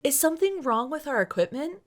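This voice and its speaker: A woman's voice: sits high, sing-song, brisk, pitched above the performer's own voice. A woman voicing an alarmed female astronaut in a science fiction story read aloud. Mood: startled. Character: alarmed female astronaut aboard a space station.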